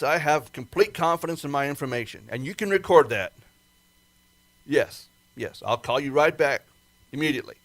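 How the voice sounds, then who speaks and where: A man's voice: a little high, dry recording, natural voice. A man acting an earnest government project man in an amateur radio play. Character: Hank, earnest government project man, urgent then grimly resigned.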